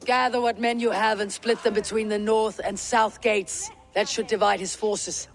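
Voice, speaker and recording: High-voiced commanding Viking warrior. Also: male voice, level tone, moderate pace, vocal fry. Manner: firm, commanding. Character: resolute, commanding Viking warrior leader, firm but merciful. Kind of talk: dramatic video game acting.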